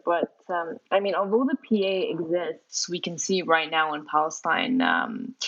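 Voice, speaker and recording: Hesitant woman on a dull, lo-fi line. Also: fairly low pitch. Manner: hesitant, many fillers and restarts. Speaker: guest, youth movement organizer. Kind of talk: casual interview answers. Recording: dull lo-fi sound.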